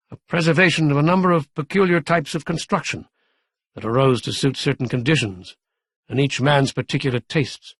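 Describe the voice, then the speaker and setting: A man voicing a calm, formal young man who speaks in a measured, solemn way. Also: medium pitch, gravelly, no echo.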